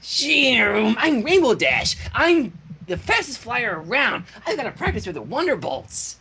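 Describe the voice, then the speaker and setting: A man voicing a brash, boastful cartoon pegasus mare with raspy tones, in a story reading. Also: very high voice, huge pitch swings, measured pace, sharp tone, pitched above the performer's own voice, heavily acted.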